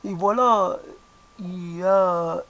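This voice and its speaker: A speaker whose first language is not French, musing aloud in a hesitant way, often pausing and restarting to search for the right word. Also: medium pitch, fairly even intonation, fairly bright tone. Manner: hesitant, many fillers and restarts, searching for words. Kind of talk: reflective spoken musing.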